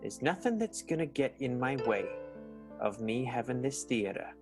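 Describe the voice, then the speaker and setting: A man plays a theater manager full of grim resolve in a radio melodrama. He speaks emphatically in a medium register over clear music. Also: mid-range voice, animated, normal speed, natural voice. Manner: emphatic, insistent. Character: grimly determined male theater manager. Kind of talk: improvised radio soap opera acting.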